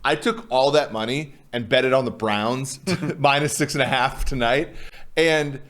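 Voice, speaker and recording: A man co-hosting a casual sports chat, talking loudly and rambling, with many restarts and fillers. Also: mid-range voice, measured pace. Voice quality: loud voice. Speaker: co-host.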